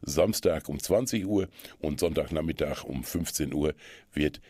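A man with a husky voice, hosting and presenting local culture listings in a scripted radio style. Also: a bass voice, measured pace, warm tone, no room sound. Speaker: radio host presenting local event tips.

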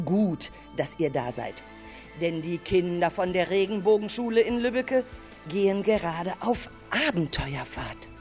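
A woman's voice: fairly low pitch, a little mellow. A woman presenting a children's radio play in a scripted, encouraging way, speaking directly to her young listeners. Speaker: presenter accompanying schoolchildren in an audio play.

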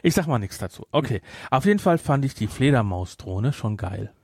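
A man's voice: medium pitch, sing-song, a little fast, breathy edge, no echo, clean studio sound. A man with a German accent chatting casually as a podcast co-host, explaining things in a rambling way with frequent self-corrections. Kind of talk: casual film discussion chat.

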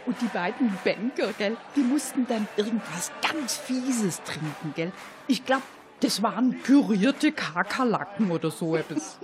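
A chatty, gleeful lady sleuth acted by a woman in a homemade radio crime play. She has a medium-pitched voice and gossips in folksy, colloquial phrases. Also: lively intonation, measured pace, background noise, natural voice. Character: chatty, gleeful female amateur detective.

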